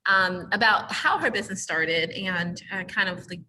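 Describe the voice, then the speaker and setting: A woman hosting a library entrepreneur talk, enthusiastic and quick to laugh. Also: lowish voice, sharp tone, rough recording. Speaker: program host. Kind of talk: hosting an informal conversation.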